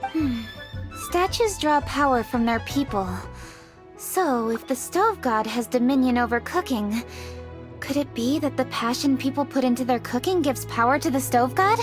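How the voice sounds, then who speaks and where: No-nonsense young leader in a game, high, lively voice, crisp. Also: female voice, moderate pace, over music. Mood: businesslike. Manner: crisp, matter-of-fact. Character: young woman leader, brisk and analytical. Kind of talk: video game cutscene voice acting.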